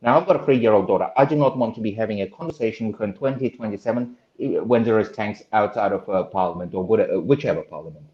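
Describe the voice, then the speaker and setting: A man in his thirties, originally from Russia, answering interview questions as a political candidate in an earnest, halting way with many pauses and restarts. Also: on the low side. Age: 30s. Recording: echoey room, dull lo-fi sound.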